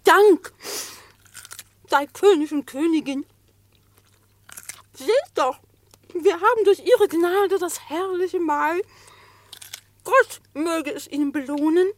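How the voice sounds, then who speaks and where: An actress playing the fox's anxious, devoted wife in a radio play, soft and pious. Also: female voice, very high-pitched, takes it slowly, pauses often. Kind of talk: classic radio play acting.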